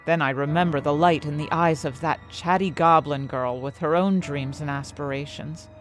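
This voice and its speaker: A woman playing an ambitious, conflicted scientist who narrates her own story with dramatic, deliberate phrasing. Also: sits low, fairly even intonation, faint music behind, natural voice. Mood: brooding, conflicted. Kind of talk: first-person audio fiction narration.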